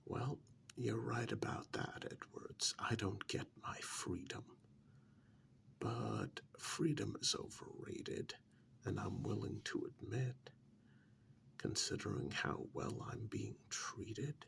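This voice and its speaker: A man playing a smug, pampered captive in a soft-spoken ASMR role-play, drawling sardonically with long pauses and feigned innocence. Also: on the low side, big pitch swings, exaggerated voice. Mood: coolly dismissive. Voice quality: soft, half-whispered, gravelly.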